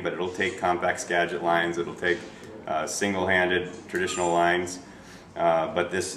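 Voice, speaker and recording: A man's voice: deep voice, fairly bright tone. An American man presenting products informally in a shop, unscripted, plugging them in short clipped phrases. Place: small retail shop, indoors. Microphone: camera a few feet away. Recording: echoey shop, distant camera mic, faint noise.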